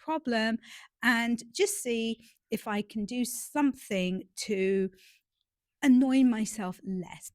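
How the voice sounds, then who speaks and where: A woman's voice: medium pitch, no room sound, clean studio sound. A woman with a stuffy, nasal voice from a cold, chatting casually and self-deprecatingly to camera outdoors in a garden. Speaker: romance author and YouTuber.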